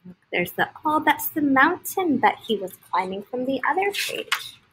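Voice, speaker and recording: A woman in her thirties reading a picture book aloud to young children, expressively, pausing to point out pictures with simple comments. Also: high-pitched, big pitch swings, a bit bright, breathy edge, rough recording. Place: indoor school classroom. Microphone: laptop on a video call.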